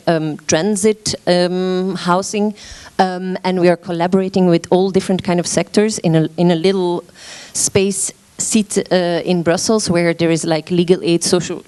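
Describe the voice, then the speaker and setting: A woman gives a conference presentation in a fairly low voice. She speaks hesitantly, pausing often with filler sounds and restarting her sentences. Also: darkish tone, smooth. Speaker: arts organization representative presenting at a meeting.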